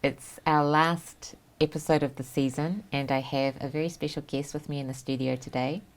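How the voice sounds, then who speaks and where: A woman's voice: low-pitched, steady tone, moderate pace. A woman with a New Zealand accent hosting a studio chat show, telling her family story in a reflective way with many fillers. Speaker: host. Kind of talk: casual interview chat.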